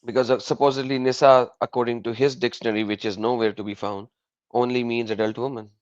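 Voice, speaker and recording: Animated man explaining. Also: fast talker, no room sound, dull audio. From Pakistan. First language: Urdu. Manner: animated explainer, pitch jumping up when he makes a point. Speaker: host of a live call-in stream. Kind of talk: casual live-stream chat.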